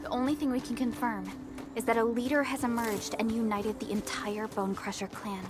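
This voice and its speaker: An actress playing a composed, earnest female tactical officer in a video game, with measured, formal, precise delivery. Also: fairly high pitch, steady tone, lightly acted. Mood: composed briefing.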